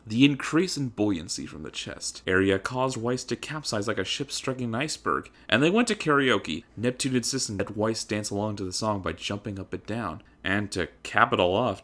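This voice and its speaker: A man's voice: on the low side, a bit bright. A man narrating a comedic dramatic reading in a theatrical, emphatic, mock-serious manner.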